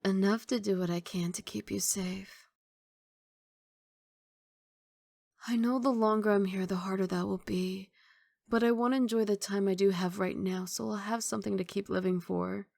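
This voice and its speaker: A woman playing a guarded but tender mafia boss girlfriend in an intimate ASMR roleplay, speaking softly and in a measured, wistful way. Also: mid-range voice, steady tone, creaky, no echo, studio quality.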